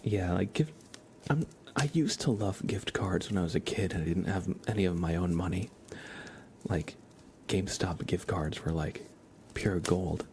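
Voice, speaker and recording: Male ASMR streamer, low-pitched, hushed and whispery, playful rambling chat, faint background noise. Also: moderate pace. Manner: rambling, playful asides to viewers. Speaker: livestream host. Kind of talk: casual ASMR livestream chat, often whispered.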